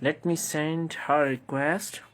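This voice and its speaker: Male livestream host, Pakistani accent, higher-pitched voice, effusive and wordy, casual interviewing style. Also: steady tone, slow. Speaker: live stream host.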